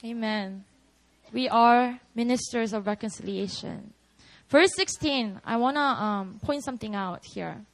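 High-voiced Korean-accented woman preaching. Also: measured pace, low-quality recording. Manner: candid, chatty, laughs often. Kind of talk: prayer meeting sermon.

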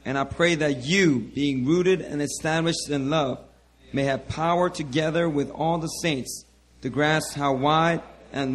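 A man preaching to his church with a hoarse, worn-out voice, repeating hallelujah and calling out to the congregation over a handheld mic. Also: mid-range voice. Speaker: pastor. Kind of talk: sermon.

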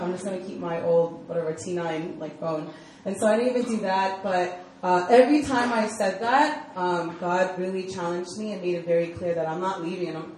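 A woman's voice: mid-range voice, a little mellow. Chatty young American woman in an echoey hall. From United States (Virginia). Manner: chatty, lots of "like" fillers, laughs easily. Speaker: campus ministry director. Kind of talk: casual personal testimony sermon. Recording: echoey hall with faint room noise.

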